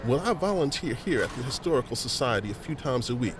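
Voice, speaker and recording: A man's voice: medium pitch, animated, fast talker, faint music behind, close to an everyday voice. A man playing a friendly, folksy middle-aged museum volunteer in a family audio drama, chatty and fond of explaining things. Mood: friendly, concerned. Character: friendly, folksy older male museum volunteer and newspaper editor.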